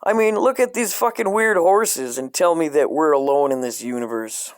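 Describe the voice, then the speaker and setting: A man reading a dark comic coloring book aloud in a deadpan way, breaking off into profane asides. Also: a little high, moderate pace, dry recording.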